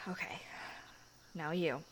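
A young woman voicing a shy, sweet churchgoing girlfriend in an intimate audio roleplay, speaking softly with hushed, hesitant phrasing and frequent giggles. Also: low voice, extremely slow, heavy background noise. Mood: shy, nervous.

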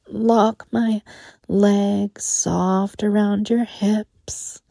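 A woman voicing a doting, protective motherly lover, murmuring close to the mic in a dark, mellow voice. Her soft whispers come out husky and edged with fry, and she lingers on every word at an unhurried crawl. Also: on the high side, dry recording, exaggerated voice. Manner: soft, cooing, often whispered. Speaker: audio roleplay performer. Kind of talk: intimate whispered audio roleplay.

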